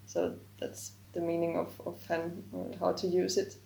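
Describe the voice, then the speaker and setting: A woman's voice: lowish voice, completely flat delivery, moderate pace, gravelly, mellow, echoey room. A woman whose first language is German, a podcast guest carefully explaining word forms in English. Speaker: guest, language project co-creator. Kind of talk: explaining grammar in a podcast interview.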